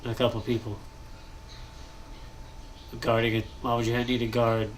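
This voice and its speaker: Stuffed-up young gamer host, loose thinking-aloud delivery, middle pitch, light background hiss. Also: male voice, completely flat delivery, unhurried. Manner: rambling, thinking aloud, frequent coughs and throat clearing. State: congested, nasal. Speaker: gaming channel host. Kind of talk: casual commentary while building in a game.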